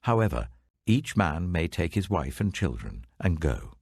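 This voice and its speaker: A man's voice: low-pitched, sing-song, a little slow, darkish tone, husky, no echo, clean studio sound, full character voice. A man voicing a mean, greedy troublemaking soldier, grudging and brusque, in a dramatic scripture reading.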